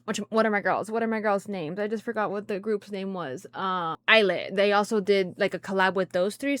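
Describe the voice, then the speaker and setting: A woman's voice: mid-range voice, clean tone, dry recording. A woman hosting a music reaction video, rambling casually and often losing her words mid-thought. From grew up speaking Spanish. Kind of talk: casual chat.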